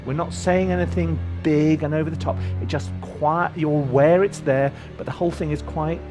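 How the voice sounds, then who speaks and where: A man's voice: a little high, fairly even intonation, moderate pace. A middle-aged man, a composer walking through his music session in a casual, rambling way with many ums and restarts. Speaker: composer teaching film scoring. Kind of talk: casual screen-share walkthrough. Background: music from his session playing faintly.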